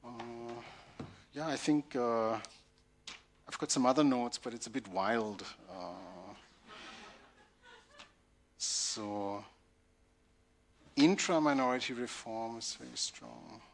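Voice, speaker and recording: Guy on an academic panel, average pitch, thinking out loud, kinda halting. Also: expressive, creaky, slight background noise. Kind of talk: unscripted academic discussion. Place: university conference hall.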